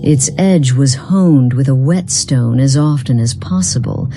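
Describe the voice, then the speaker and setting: A woman voicing an enigmatic, proud, long-lived part-human being in a fantasy game, speaking formally and deliberately in archaic phrasing. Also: rumbling low, warm tone, slight background noise. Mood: appraising, intrigued. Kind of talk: scripted fantasy game dialogue.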